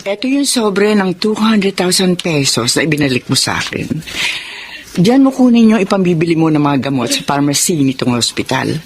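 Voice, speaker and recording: Calm woman doctor, noisy background. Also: lowish voice, normal speed. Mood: kindly. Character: kind, generous woman doctor, calm and professional. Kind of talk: Tagalog radio drama acting.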